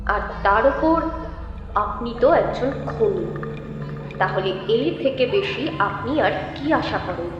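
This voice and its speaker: A woman's voice: on the high side, animated, slow pace. A woman playing a sarcastic hostess who taunts a writer with false politeness in a horror audio drama. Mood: contemptuous. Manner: sarcastic, feigned politeness, needling rhetorical questions. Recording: heavily reverberant dull hall sound, music behind.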